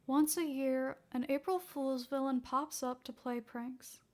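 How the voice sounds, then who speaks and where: A woman's voice: high-pitched, fairly even intonation, unhurried. A woman narrating a scripted fan fiction story as its reader.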